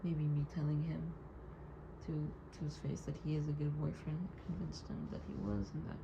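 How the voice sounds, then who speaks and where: A woman's voice: sits low, lively intonation, normal speed. A young woman recording a personal audio diary, rambling and hesitant with many "like"s, hurt and wry as she holds back tears. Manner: rambling, hesitant, heavy use of "like". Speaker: audio diarist. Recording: dull lo-fi recording with clear background noise.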